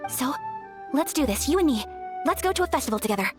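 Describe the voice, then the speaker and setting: A woman playing a refined, earnest young noblewoman in a video game, speaking politely and formally in a gentle, hesitant way. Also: sits high, lively intonation, fast. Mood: shy, resolved. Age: young adult. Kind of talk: video game character acting. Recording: faint music behind the voice.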